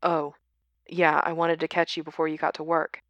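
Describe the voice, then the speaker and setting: A woman playing a low-key, slightly hesitant young man in an audiobook, in an understated manner. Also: completely flat delivery, normal speed, a bit bright, dry recording, dull audio.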